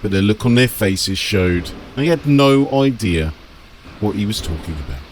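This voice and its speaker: A man narrating a scripted fantasy audiobook dramatically, with measured, emphatic phrasing. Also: lowish voice, expressive, a little hiss or hum.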